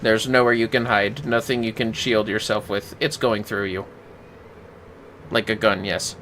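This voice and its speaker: A man's voice: lowish voice, flat delivery, unhurried, a bit bright, a little hiss or hum, rough recording. A man hosting a casual game livestream, commenting in an amused, sweary, wry way. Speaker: livestream host. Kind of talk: casual game commentary, reading dialogue aloud.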